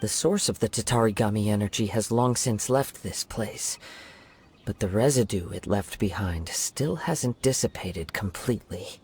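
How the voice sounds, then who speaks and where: A man voicing a calm young wandering samurai in a video game, speaking in a measured, thoughtful way. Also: on the low side, slight background noise, close to an everyday voice. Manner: measured, thoughtful and soft-spoken. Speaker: investigating hero.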